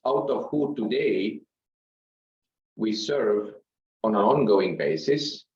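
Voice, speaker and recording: A man who is not a native English speaker, giving a measured, halting business presentation to investors as a company executive. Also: lowish voice, a little mellow. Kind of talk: business presentation on an earnings call. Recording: roomy, slightly dull conference-call sound.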